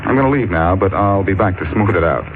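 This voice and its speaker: An actor playing a breezy, sardonic, unflappable amateur detective in 1940s radio drama style. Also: male voice, deep voice, animated, fast. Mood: musing, confident. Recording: noisy, tinny 1940s radio recording.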